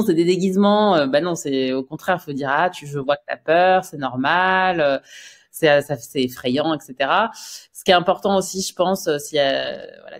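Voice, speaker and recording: A woman co-hosting a parenting podcast, explaining in a thoughtful, hesitant way with many fillers. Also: low voice. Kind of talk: casual podcast chat.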